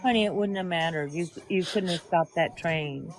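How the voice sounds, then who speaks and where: A woman comforting a grieving friend on a live stream, soft and reassuring. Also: on the low side, normal speed, faint music behind. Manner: gentle, soothing, reassuring. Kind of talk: casual comforting chat.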